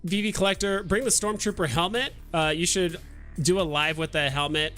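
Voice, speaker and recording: A man hosts a livestream with a fairly high, forward and very bright voice. He chats casually and hesitantly, thinking aloud as he goes. Soft music sits in the background. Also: moderate pace. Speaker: livestream host. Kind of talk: casual chat.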